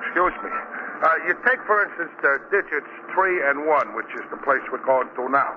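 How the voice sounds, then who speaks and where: An actor playing a dim, good-natured cab driver, slangy and muddled, in 1940s radio style. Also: male voice, fairly high pitch, lively intonation, a little fast, close to an everyday voice. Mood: sheepish, chatty. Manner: slangy, ungrammatical, muddled explanations. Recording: dull, noisy 1940 radio recording.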